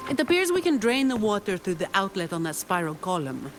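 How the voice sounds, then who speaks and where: A woman voicing a dutiful young female employee and fighter in a video game, businesslike and measured. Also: mid-range voice, very animated, over music, close to an everyday voice. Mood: determined.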